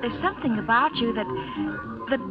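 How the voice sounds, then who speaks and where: An actress playing a sweet, shy young waitress who talks softly and earnestly, in 1940s radio acting style. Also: female voice, on the high side, animated, a little fast, close to an everyday voice. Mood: flustered. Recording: dull old radio recording with music.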